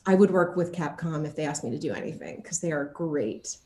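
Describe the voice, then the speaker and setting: A woman's voice: deep voice, lively intonation, fairly quick, mellow, roomy sound. A woman chatting casually as an interview guest, gushing and emphatic in her enthusiasm.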